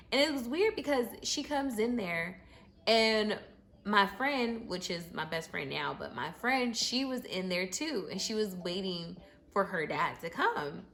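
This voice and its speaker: Chatty woman in her thirties telling a story. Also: medium pitch. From Arizona. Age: 30s. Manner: animated storytelling with many fillers. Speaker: vlogger. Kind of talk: story-time vlog.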